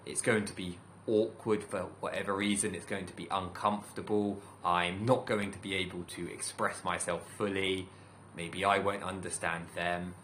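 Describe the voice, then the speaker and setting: A man from the UK, a teacher, giving a calm, thoughtful talk to camera in a measured way with many pauses. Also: deep voice, a little hiss or hum. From United Kingdom. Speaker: teacher and channel host. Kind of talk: reflective solo talk to camera.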